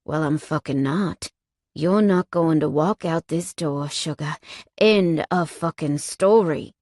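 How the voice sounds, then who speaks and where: Gruff biker guy, menacing but protective, low and growling, voiced by a woman reading the story. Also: steady tone, moderate pace, mellow, no echo, clean studio sound. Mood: angry.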